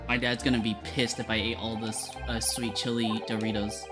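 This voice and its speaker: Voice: medium pitch, flat delivery, moderate pace, over loud music. A streamer casually commenting on a game playthrough, rambling, swearing and laughing.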